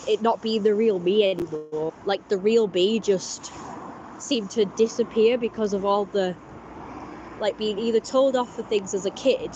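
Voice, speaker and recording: A woman vlogging casually with a blocked-up, congested voice. She talks in a halting, rambling way, full of restarts and fillers. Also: mid-range voice, dark tone, muffled recording, slight background noise. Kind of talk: casual personal vlog talk.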